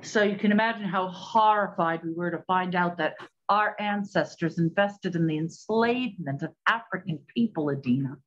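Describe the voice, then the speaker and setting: An actress playing a suspicious, sharp-tongued woman detective in a 1920s period radio play. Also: on the low side, normal speed, close to an everyday voice. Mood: dismayed. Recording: slightly dull lo-fi sound.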